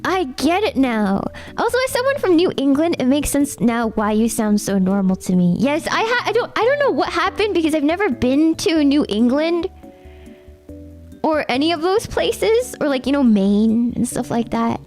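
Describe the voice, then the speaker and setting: Chatty high-voiced Canadian woman streamer. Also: big pitch swings, moderate pace, darkish tone, soft background music. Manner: chatty, rambling, addresses viewers as Cookie. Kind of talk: casual chat with chat readers.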